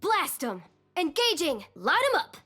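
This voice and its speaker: A woman's voice: sits high, very animated, a little slow, sharp tone, faint music behind, full character voice. A woman voicing a small, feisty, cheerful female gunner creature in a video game, firing off cocky, punchy battle quips. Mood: gung-ho. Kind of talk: video game character voice lines.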